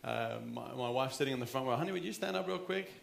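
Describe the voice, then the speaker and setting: A man's voice: mid-range voice, lively intonation, quick pace, gravelly, roomy sound, background noise. A man in his thirties with a South African accent, preaching an earnest, conversational sermon with frequent restarts. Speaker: pastor. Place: church sanctuary.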